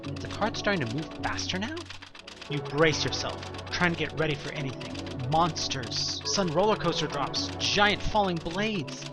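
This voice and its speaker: A man reading scripted second-person horror narration in a suspenseful, expressive storytelling manner with deliberate pauses. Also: on the high side. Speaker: narrator of an audio play. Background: music.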